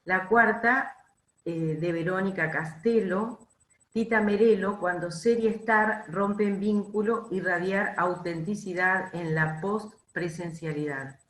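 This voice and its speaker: A woman's voice: medium pitch, slow, a bit bright. A woman moderating a conference panel, introducing speakers in a formal, slightly hesitant manner. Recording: echoey room sound.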